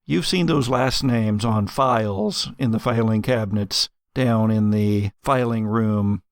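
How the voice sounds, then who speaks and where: An American man narrating an improvised tabletop game as game master, in a halting manner. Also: on the low side, dry recording, clean studio sound. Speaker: game master of an actual-play podcast.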